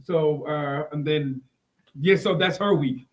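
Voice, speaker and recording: A man co-hosting a casual live talk show, excitable and often repeating his phrases as he goes. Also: fairly high pitch, takes it slowly, low-quality recording.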